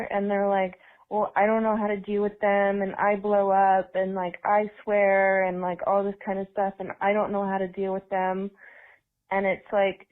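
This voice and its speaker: A woman's voice: medium pitch, phone-quality sound. Rambling woman on a phone voicemail. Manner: rambling, filled with "like". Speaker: caller.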